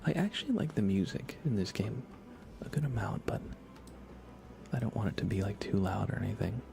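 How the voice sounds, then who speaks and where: A man livestreaming a game in ASMR style, softly whispering in a relaxed, casual way as he thinks aloud and chats with viewers. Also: on the low side, fairly quick, soft background music. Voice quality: soft, breathy whisper.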